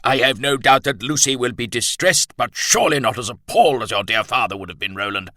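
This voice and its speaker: A man's voice: medium pitch, a little fast, sharp tone, no echo, studio quality, pitched above the performer's own voice, natural voice. A man voicing a pompous, indignant retired colonel, an older man with formal, emphatic speech, in an audiobook novel. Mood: stern, reproachful.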